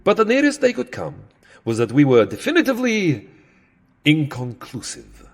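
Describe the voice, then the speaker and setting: A man's voice: fairly high pitch, wildly swooping pitch, normal speed, heavily acted. A man playing a centuries-old vampire count in a comedic audio drama, fussy, sardonic and theatrically wordy. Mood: wry, chatty. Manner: theatrical, wry, fussily verbose.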